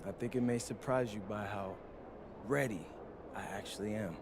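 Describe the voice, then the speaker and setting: A man's voice: fairly low pitch, moderate pace, background noise, natural voice. An actor playing an eager, confident young rookie basketball player in a video game, casual and respectful in his talk. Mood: confident, persuasive. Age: young adult. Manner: casual, self-assured, respectful. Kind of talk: naturalistic video game cutscene dialogue.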